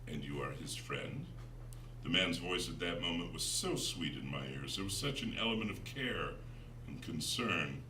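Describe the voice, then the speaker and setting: Deep-voiced man reading dramatically, roomy recording. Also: moderate pace, pushed and bright. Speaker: author reading his own novel. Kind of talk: dramatic literary narration. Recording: roomy, distant, noisy recording.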